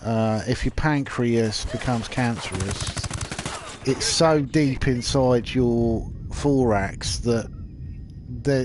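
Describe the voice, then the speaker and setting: A man, stuffed up and nasal from hay fever, talks slowly and hesitantly, full of ums and restarts. He chats casually while playing a game. Clear game sounds and engine noise run behind him. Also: medium pitch, darkish tone. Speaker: livestreamer playing a video game. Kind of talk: casual rambling commentary over gameplay. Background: video game audio with vehicles.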